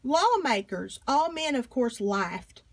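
A woman reading a children's picture book aloud with expressive, emphatic phrasing. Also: high voice, takes it slowly. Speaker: storybook reader.

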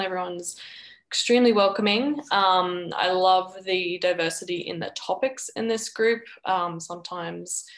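A woman's voice: medium pitch, vocal fry. A woman from the Seattle area, an upbeat member of the group, speaking casually over a video call. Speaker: community member. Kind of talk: casual chat.

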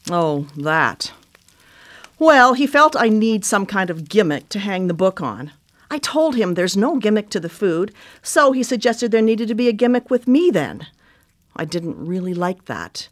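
An actress playing a brisk, sardonic older sister and proud home cook in a contemporary radio drama, with a dry, teasing manner. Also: female voice, medium pitch, big pitch swings. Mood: apologetic, annoyed.

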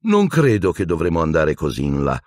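A man's voice: sits low, animated, moderate pace, no room sound, clean studio sound. A man voicing a vindictive, sneering male schoolteacher unhinged with rage in a storybook reading, menacing, hissing and shrieking. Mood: gloating.

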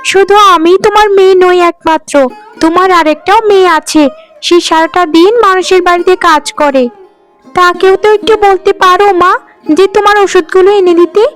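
A woman voicing an irritable, sharp-tongued young seamstress daughter in a cartoon, scolding and complaining. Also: very high voice, fairly even intonation, a little fast, poor audio. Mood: irritated, scolding. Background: faint music.

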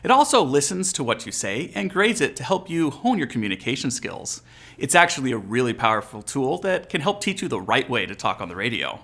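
A man's voice: mid-range voice, very animated, a little fast, vocal fry, bright, forceful tone. A man in his forties with an American accent, explaining and coaching as a video host in a casual instructional style. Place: furnished living room, indoors.

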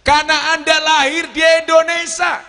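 Joking javanese preacher guy, high and bright, shouts then mutters, handheld mic outdoors. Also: little pitch movement, normal speed, soft background music. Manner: joking, switches between shouting and low asides; walks the stage with a cane. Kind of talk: humorous outdoor sermon. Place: outdoor stage before a large crowd.

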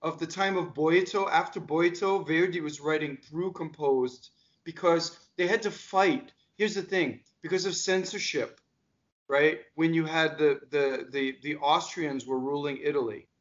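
American guy in his 50s on a video call, kinda high voice, a bit muffled, keeps firing questions. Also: measured pace. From United States. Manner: energetic, gestures a lot, asks pointed questions. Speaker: diction coach. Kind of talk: casual video call discussion. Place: home music room. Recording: dull lo-fi call audio.

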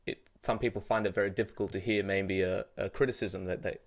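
A man's voice: sits low, steady tone, fast talker. Casual young Australian man, slangy podcast host. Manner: informal, slangy, sweary. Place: small home studio room. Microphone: close studio microphone, headphones.

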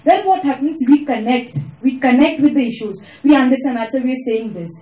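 A young woman with an Indian accent making a halting, earnest audience comment into a handheld microphone in an auditorium. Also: sits high, lively intonation, mellow. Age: young adult. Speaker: audience member, student. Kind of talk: audience comment at a panel discussion. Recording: echoey auditorium.

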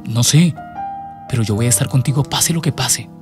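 A man's voice: medium pitch, normal speed, lightly acted. Radio drama role: man as earnest, pleading young boyfriend, faint music bed. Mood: tender. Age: young adult.

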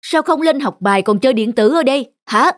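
A man's voice: extremely high pitch, lively intonation, fairly quick, a bit bright. A man playing a stern, middle-aged father in a cartoon, scolding with authority.

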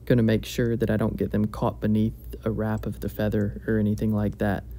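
Calm, low-key man explaining a craft. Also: brisk, gravelly, a little hiss or hum. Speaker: fly-tying instructor. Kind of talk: step-by-step tutorial narration. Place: home fly-tying bench.

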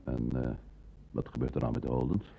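An actor playing a sardonic, easygoing small-time crook and ex-convict in a Dutch radio play, with a gravelly voice. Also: male voice, rumbling low, steady tone, brisk, dark tone, soft background music, exaggerated voice. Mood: wry, needling. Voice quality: gravelly, husky.

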